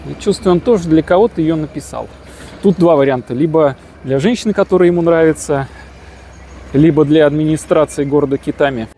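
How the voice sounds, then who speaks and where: Male travel vlogger, slightly high, boastful jokey delivery, faint street noise behind. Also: fairly quick, mellow. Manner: jokey, boastful, slangy storytelling. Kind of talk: casual vlog narration.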